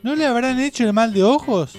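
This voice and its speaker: A man with a very high voice speaks in Rioplatense Spanish accent. He hosts casually, in loose radio chat. Light music plays behind him. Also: fairly quick, darkish tone. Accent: Rioplatense Argentine Spanish. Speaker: radio show host.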